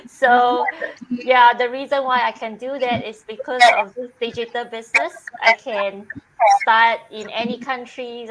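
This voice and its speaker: A woman's voice: on the high side, poor audio. A woman from Singapore, a guest on a live video chat, talking in an upbeat way. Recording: video call with loud crowd noise.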